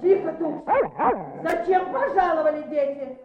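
A woman's voice: high voice, a little slow, over a noisy crowd. An actress playing a greedy, suspicious old village woman who grumbles and bosses in a radio play. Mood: suspicious, curt. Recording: roomy, dull old radio recording.

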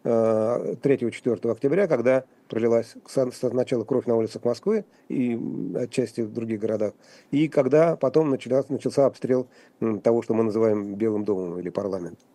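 A man speaking as a guest commentator on a radio talk show, halting and wry, with frequent restarts and fillers. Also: medium pitch, mellow, no echo. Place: radio studio.

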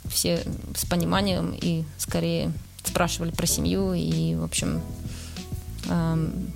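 A woman's voice: fairly low pitch, level tone, a little slow, creaky, music in the background. A woman from Russia giving thoughtful interview answers, often searching for words. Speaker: interview guest, museum worker.

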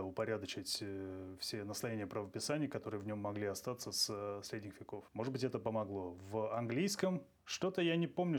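A man on a podcast, explaining spelling and language history in a quick, lecturing way with slangy asides. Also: fairly low pitch. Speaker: podcast guest, language blogger. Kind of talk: casual explanatory chat.

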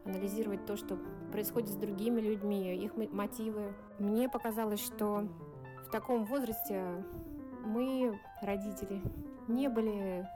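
Measured, thoughtful middle-aged mother. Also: medium pitch, fairly even intonation, music in the background. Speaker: guest parent. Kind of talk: prepared statement.

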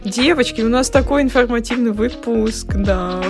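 Dry young woman, highish voice, music behind. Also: moderate pace. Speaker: podcast co-host. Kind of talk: casual chat.

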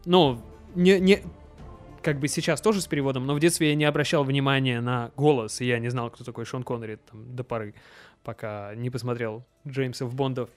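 A young man co-hosting a podcast, chatting casually with lots of fillers and quick restarts. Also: medium pitch, fairly even intonation, soft background music. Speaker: podcast co-host. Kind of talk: casual chat.

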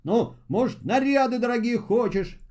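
A mysterious storybook stranger tempting a lad with gifts, performed by a man. The delivery is high, brisk and coaxing, with animated swings of pitch. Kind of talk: storybook fairy-tale voice acting.

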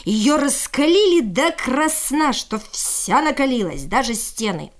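A woman narrates a folk tale as an expressive storyteller. Her voice sits high and moves widely in pitch, with folksy, theatrical phrasing. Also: measured pace.

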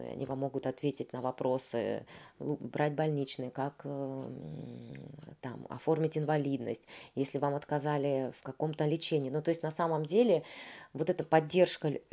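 Very low-voiced woman on a dull recording. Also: creaky, dark tone. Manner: earnest, explanatory, pauses to search for words. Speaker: peer consultant. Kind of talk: conversational interview answer.

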